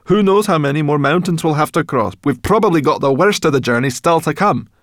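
A man's voice: medium pitch, lively intonation, moderate pace, dry recording, studio quality, voice pushed up, lightly acted. A man voicing a brash, bossy young female fairy creature who grumbles and exclaims, in a storybook reading. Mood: exasperated scolding.

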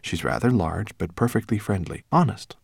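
A man voicing an eager, kindly human boy in an audiobook, talking in a quick and earnest way. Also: fairly low pitch, animated, creaky, breathy edge, no echo, clean studio sound, full character voice. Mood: reassuring. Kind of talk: audiobook character acting.

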